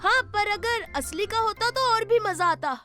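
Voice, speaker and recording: An energetic big-brother boy bunny, played by a woman, talks in a very high, bright cartoon voice. He sounds eager and a little bossy with his little brother. Music plays clearly beneath the dialogue. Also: moderate pace. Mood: encouraging. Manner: energetic, impatient, encouraging. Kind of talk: cartoon dub.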